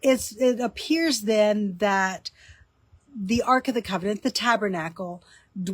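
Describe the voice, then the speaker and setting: A woman's voice: mid-range voice, slightly rough, dry recording. Slightly nasal, stuffy woman explaining. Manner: relaxed and conversational, with frequent ums and restarts. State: stuffy from a cold. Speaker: author and teaching host. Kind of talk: casual teaching talk.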